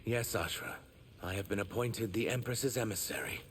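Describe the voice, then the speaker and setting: Earnest, gravelly male reptilian emissary. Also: fairly low pitch, lively intonation, normal speed, a bit bright, slight background noise. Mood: dutiful, earnest. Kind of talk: video game dialogue acting.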